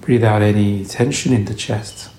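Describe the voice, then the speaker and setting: A man with a low, mellow voice guides a meditation in gentle, soothing phrases. His delivery is very slow and unhurried. The recording has a noticeable roomy echo around the voice. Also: fairly even intonation. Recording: roomy echo, faint background noise.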